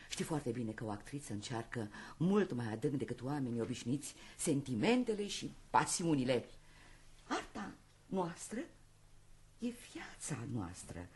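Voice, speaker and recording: An actress playing a proud, high-strung theatre actress in a radio play, declaiming in a grand, sentimental, impassioned way. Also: female voice, a little mellow, slight background noise.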